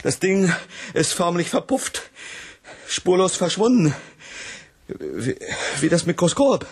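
An agitated space colonist in a 1980s sci-fi radio play. His voice is husky and slightly high, and he presses his case urgently, breaking phrases for emphasis. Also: very animated, full character voice. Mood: agitated, desperate. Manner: urgent, theatrical, halting for emphasis. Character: agitated, insistent male space colonist convinced objects attack him.

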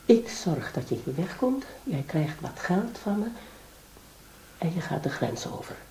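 Creaky-voiced scheming bourgeois wife. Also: female voice, lowish voice, big pitch swings, a little slow, mellow, slight background noise. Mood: coaxing. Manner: coaxing, insistent, feigned concern. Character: scheming ambitious bourgeois wife, coaxing and calculating. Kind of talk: Dutch radio drama acting.

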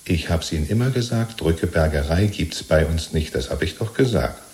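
Low-voiced, anxiously chummy party loyalist. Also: male voice, expressive, moderate pace, a little mellow. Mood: self-justifying. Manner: rambling, ingratiating, chummy, seeking reassurance. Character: chatty, servile male party loyalist, jailed and anxiously self-justifying. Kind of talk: 1970s German radio play acting.

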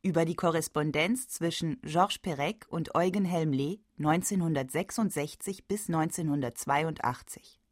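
A woman's voice: sits low, normal speed, few pauses, dry recording, clean studio sound. A woman reading out the title and credit of a radio feature. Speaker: announcer.

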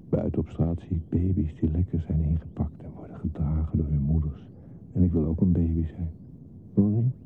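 An actor playing a lonely, disturbed man who longs to be a baby, in a hesitant, confiding, often near-whispered radio play monologue. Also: gravelly. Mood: plaintive. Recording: muffled lo-fi recording with hiss and rumble.

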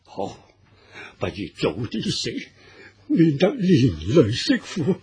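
High, wildly swooping old Cantonese father-in-law. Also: wildly swooping pitch, darkish tone, husky, full character voice. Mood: anguished despair. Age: older. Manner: halting, wailing, melodramatic. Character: despairing, anguished old father-in-law in Chinese folk tale. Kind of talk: Cantonese radio drama acting.

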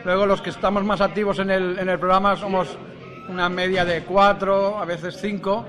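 A man being interviewed casually about his radio show, talking haltingly and repeating phrases. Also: high voice, flat delivery, a crowd in the background.

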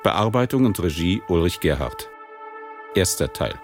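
A man's voice: low-pitched, sing-song, unhurried, darkish tone, soft background music. A male announcer reading radio drama credits in a plain, formal way.

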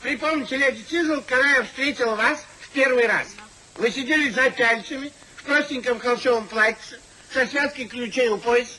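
High-voiced, fussy elderly husband. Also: male voice, bright, forceful tone, low-quality recording. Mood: indignant, scolding. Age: older. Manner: indignant, emphatic, drawn-out exclamations. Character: fussy, exasperated elderly husband, English gentleman. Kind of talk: 1950s theatrical radio comedy acting. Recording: 1950s radio drama recording.

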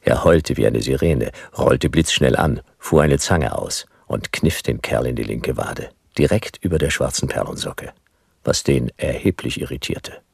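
A man's voice: extremely low pitch, measured pace, dry recording, clean studio sound, exaggerated voice. A man playing a world-weary private detective in a noir radio drama, narrating in clipped, laconic, wry phrases. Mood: wry narration. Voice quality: gravelly.

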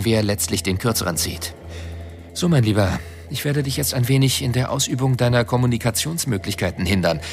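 A smug, sardonic rebel pioneer from Earth in a science fiction radio play. He talks at a medium pitch with theatrical conviction and a mocking edge. Music plays faintly behind him. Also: creaky.

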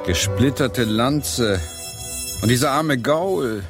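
An actor in a German radio drama playing a weary, irritable, middle-aged English poet who complains theatrically and sighs. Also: male voice, medium pitch, animated, takes it slowly, music in the background. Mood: sardonic, curious.

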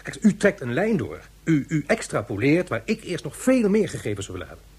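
An actor playing a skeptical, pedantic professor in a science fiction radio drama, hesitating and stumbling over words. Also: male voice, on the high side, huge pitch swings, fairly quick, a little mellow, breathy edge, full character voice. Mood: doubtful.

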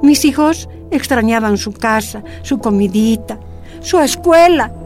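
A woman's voice: a little high, lively intonation, measured pace, a little mellow, faint music behind. A woman narrating her own memories in first person, in a sorrowful, reflective way.

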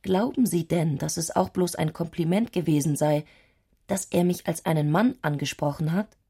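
A woman's voice: low-pitched, moderate pace, dark tone, husky. An actress playing an intense, headstrong and eccentric young woman in a radio play, speaking in an earnest, insistent manner.

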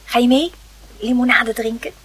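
An actress playing a kindly, patient aunt in a Dutch radio play, explaining things simply in a slightly husky voice. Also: female voice, fairly high pitch, fairly even intonation, normal speed, fairly bright tone. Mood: patient, reassuring. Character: kindly, reassuring adult aunt talking to a child.

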